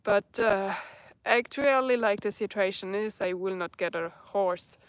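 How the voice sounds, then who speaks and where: Slow-talking German woman by a campfire. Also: medium pitch, dry recording. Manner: slow, searching for English words. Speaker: bushcraft vlogger. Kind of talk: live stream chat reading comments. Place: outdoors in a dark forest at night by a campfire.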